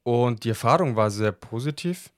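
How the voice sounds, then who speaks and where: A man's voice: medium pitch, expressive, moderate pace, no room sound, studio quality. A talkative man hosting a casual podcast chat, often breaking into laughter while answering questions. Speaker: podcast host.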